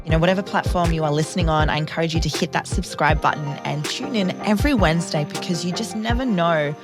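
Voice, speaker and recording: Female host doing a promo read, deep voice, music audible underneath. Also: fast. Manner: upbeat and direct to listeners. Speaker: podcast host.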